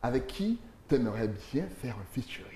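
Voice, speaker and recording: A man's voice: mid-range voice, brisk. Enthusiastic man interviewer. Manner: lively, enthusiastic, rising excitement. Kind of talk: casual TV interview. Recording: echoey room with faint noise.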